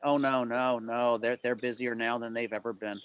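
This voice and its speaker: A man's voice: mid-range voice, steady tone, moderate pace, fairly bright tone, smooth. A man answering club members' questions informally on a video call, explaining things in detail.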